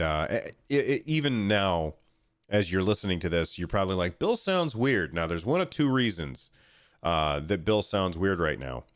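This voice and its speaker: Low, nasal, stuffed-up male podcast host. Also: dry recording, clean studio sound. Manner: informal, chatty, addressing listeners directly. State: congested from a cold. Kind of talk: casual solo podcast talk. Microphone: close podcast microphone.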